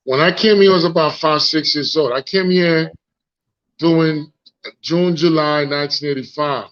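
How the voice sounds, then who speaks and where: A man's voice: a little high, fairly even intonation, normal speed, fairly bright tone. Haitian man on a lo-fi phone line. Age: 40s. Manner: frank and reflective, repeats words while searching for them. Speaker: guest, founder. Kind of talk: casual live interview. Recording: lo-fi phone audio.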